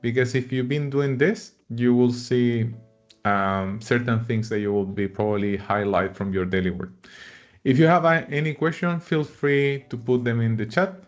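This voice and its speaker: A man's voice: mid-range voice, moderate pace, faint music behind. Man from Chile hosting a webinar, lo-fi. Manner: friendly, non-native phrasing with frequent fillers. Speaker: webinar host. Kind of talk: live webinar presentation.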